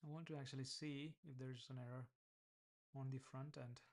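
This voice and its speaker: A man's voice: medium pitch, fairly even intonation, normal speed. Hesitant Indian-accented man thinking aloud. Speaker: vlogger building an app. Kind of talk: casual screen-recorded coding vlog.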